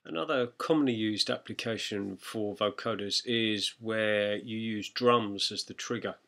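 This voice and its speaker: Bright-voiced man giving a software tutorial. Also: lowish voice, unhurried, gravelly. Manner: explanatory, conversational. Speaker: music production tutor. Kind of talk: informal software tutorial narration.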